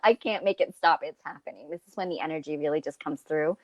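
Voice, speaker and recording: Brief: woman, deep voice, soft and unhurried, dry close recording. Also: dry recording. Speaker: co-host. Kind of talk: casual spiritual chat.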